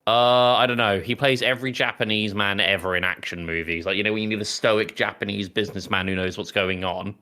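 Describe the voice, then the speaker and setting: A man's voice: fairly low pitch, steady tone, brisk, hardly any pauses, sharp tone. A man co-hosting a podcast, chatting casually in a nerdy, explanatory way. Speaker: podcast co-host. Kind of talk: casual chat.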